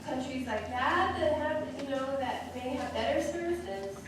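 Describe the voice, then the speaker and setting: A woman interpreting live in casual first person, speaking in halting, broken phrases. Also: high voice. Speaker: interpreter voicing for a deaf signer. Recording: very echoey, distant mic, noisy room.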